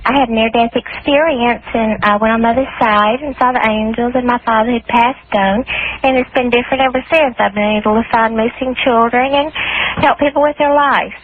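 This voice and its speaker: A woman's voice: a little high, steady tone, a little fast, slight background noise. A middle-aged woman, giving casual, folksy answers as a radio guest. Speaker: radio guest, singing psychic. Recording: thin telephone-like line with faint music.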